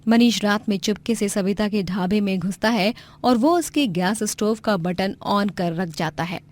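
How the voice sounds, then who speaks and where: A woman's voice: mid-range voice, a little slow. A woman reading scripted narration for a moral story.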